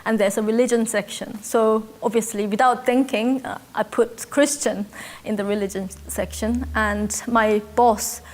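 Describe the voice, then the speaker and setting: A woman in her forties from South Korea with a Korean accent, speaking English as a second language and calmly telling her life story in an interview with hesitant pauses. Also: on the high side. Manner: reflective, measured, with hesitant fillers. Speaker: interviewee, church member. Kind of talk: personal testimony interview.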